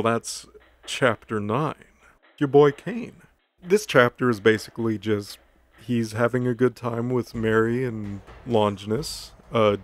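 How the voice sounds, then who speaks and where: A man giving a casual, comedic plot recap in flippant slang, acting out dialogue offhandedly. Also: fairly low pitch. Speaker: host recapping a fictional story. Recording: music bed under the voice. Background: background music.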